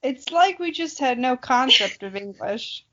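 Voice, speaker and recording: Very high-voiced, unhurried sarcastic woman. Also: animated, pushed and bright, dull audio. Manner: sarcastic, teasing. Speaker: podcast co-host. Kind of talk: casual joking commentary.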